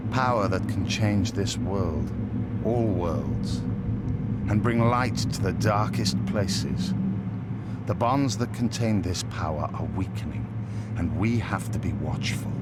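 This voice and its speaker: An actor playing a calm, enigmatic otherworldly male being in a video game, speaking in a measured, solemn way. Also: fairly low pitch. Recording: loud ambient noise behind voice.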